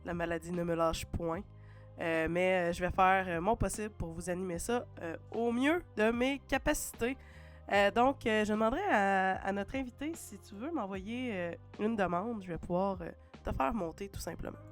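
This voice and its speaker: A woman with a Québécois French accent hosts a casual livestream, talking through a stuffy cold. She is chatty and relaxed, leans on "euh" fillers, and adds playful asides. Faint music plays softly behind her. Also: medium pitch, moderate pace. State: congested with a cold. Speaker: livestream host.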